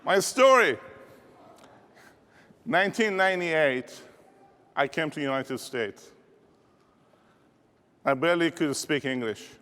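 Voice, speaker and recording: Man with a Persian accent, motivational keynote speaker, rallying a crowd, fairly high voice, echoing hall. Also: lively intonation, takes it slowly, pauses often, sharp tone. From Iran. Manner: rallying, call-and-response with audience. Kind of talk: energetic keynote speech. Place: large conference hall. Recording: reverberant hall.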